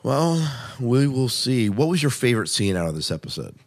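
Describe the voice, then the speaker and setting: A man's voice: medium pitch, big pitch swings, normal speed. A man hosting a podcast, chatting casually and conversationally about television shows. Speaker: podcast host.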